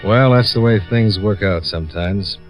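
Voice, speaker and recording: Man playing a hard-boiled american radio detective, lowish voice, dry and clipped, music behind him. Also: normal speed, lightly acted. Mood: wry, somber. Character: cool, shrewd, hard-boiled male insurance investigator. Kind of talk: 1950s radio detective acting and first-person narration.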